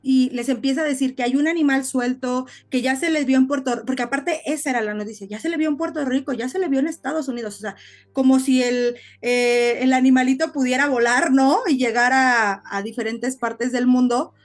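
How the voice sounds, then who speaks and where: High-pitched woman chatting on a podcast. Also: fairly even intonation, brisk. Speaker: podcast co-host. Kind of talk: casual podcast storytelling chat.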